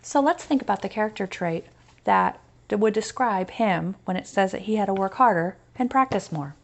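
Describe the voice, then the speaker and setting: An American woman teaching through a children's story read-aloud, pausing to explain and stress key points. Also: medium pitch, expressive.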